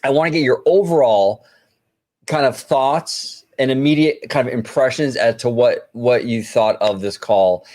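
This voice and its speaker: Male host, medium pitch, for an informal news chat; chummy, leans on repetition to make points. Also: unhurried, a little mellow. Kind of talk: casual financial news discussion.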